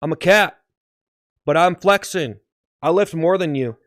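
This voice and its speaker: A man's voice: fairly high pitch, measured pace, a bit bright, no room sound, studio quality. A man voicing a boastful, muscular little cat that taunts people at the gym in a comedy skit. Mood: boastful, taunting. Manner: boastful, taunting, repetitive, meowing.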